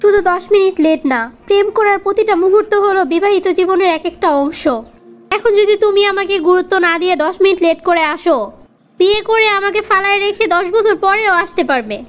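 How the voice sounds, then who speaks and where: Very high-pitched sulky young girlfriend voiced by a woman. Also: fairly quick. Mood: reproachful. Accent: Bangladeshi. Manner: reproachful, nagging. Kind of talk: cartoon comedy dialogue.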